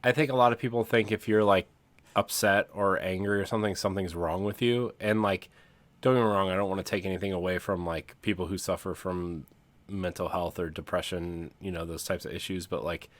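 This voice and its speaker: A man talking casually and candidly as a podcast co-host, with a halting, hedging delivery. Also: deep voice, steady tone, no echo.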